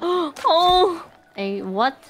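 A woman streaming a co-op video game with a friend, excitable and quick to gasp and laugh. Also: extremely high pitch. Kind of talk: casual gaming chat.

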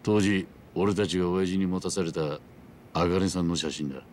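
Actor as a very deep-voiced, grave yakuza man. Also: steady tone, a little mellow, a little hiss or hum, natural voice. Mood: grave, matter-of-fact. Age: middle-aged. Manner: measured, rough masculine gangster speech. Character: middle-aged yakuza man, grave, world-weary, coolly recounting past. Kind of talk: dramatic video game dialogue. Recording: music behind the voice.

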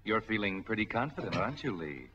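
A man's voice: on the low side, big pitch swings, fast, lo-fi sound. An actor playing a taunting, knowing unseen male narrator in a 1940s mystery film. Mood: ominous, mocking. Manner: mocking, knowing. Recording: noisy, crackly 1940s film soundtrack.

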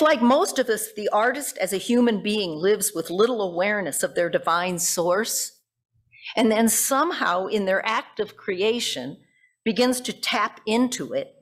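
A middle-aged American woman delivering a reflective sermon from a church pulpit, phrase by phrase, putting questions to the congregation. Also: medium pitch. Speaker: pastor preaching. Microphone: gooseneck pulpit microphone.

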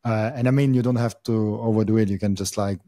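A man's voice: fairly low pitch, fairly even intonation, fast, mellow, no echo, clean studio sound. A young man, hosting a podcast interview and hesitating often, with many fillers and restarts. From Austria. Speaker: podcast host. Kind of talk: casual interview. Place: home studio. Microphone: boom mic on arm.

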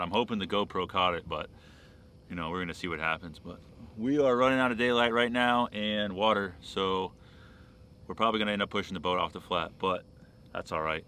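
A young man from Florida with a low, deep voice talks upbeat and straight to camera from a small open boat. The sound comes through an action camera strapped to his chest, with a faint hum of engine and water behind him. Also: sharp tone. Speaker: fishing vlogger. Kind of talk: casual outdoor vlog. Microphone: chest-mounted action camera.

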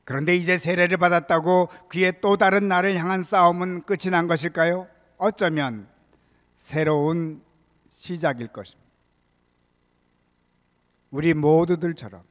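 An older man preaching a prepared sermon as a pastor, in a measured, solemn way, from a church pulpit microphone. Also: sits high, fairly even intonation, a bit bright. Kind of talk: sermon, read from prepared text. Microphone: gooseneck pulpit microphone. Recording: reverberant church hall sound.

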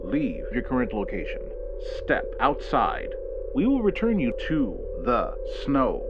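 A man's voice: mid-range voice, wildly swooping pitch, a little slow. A man playing an eerie, hijacked emergency announcer in an analog horror version of an eighties television broadcast. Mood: eerie insistence. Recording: dull lo-fi TV broadcast, loud music.

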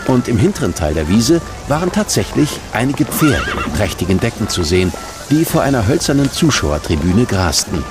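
A man's voice: lowish voice, very animated, normal speed, over music. A man narrating a scripted children's story as the storyteller of an audio drama.

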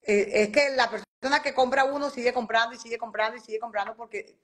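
A woman's voice: fairly high pitch, fairly even intonation, fast talker. A woman, talking as a guest on a live video call and animatedly acting out quoted dialogue as she tells stories. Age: 40s. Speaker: guest doctor and skincare entrepreneur. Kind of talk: casual live interview. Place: home room by a bright window. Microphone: phone on video call.

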